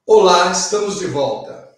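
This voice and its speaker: Male Brazilian talk-show host, higher voice, slow and formal, heard from off-mic in a very echoey room. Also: lively intonation, distant mic. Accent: Brazilian Portuguese. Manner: slow, formal announcer delivery. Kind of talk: studio talk show presenting.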